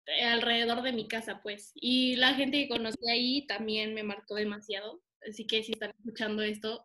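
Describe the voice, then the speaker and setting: A woman's voice: fairly high pitch, bright, forceful tone. A young woman from Mexico co-hosting a casual podcast, giggly and hesitant with many fillers, over a remote call. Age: young adult. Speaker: podcast co-host. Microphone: remote call recording.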